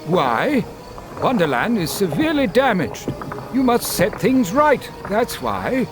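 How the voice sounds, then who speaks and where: An actor voicing a weary, grave creature who gives solemn, oracular counsel in a dark fantasy video game. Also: male voice, high voice, wildly swooping pitch, slow pace, over music, heavily acted. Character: weary, grave wise creature guiding a girl.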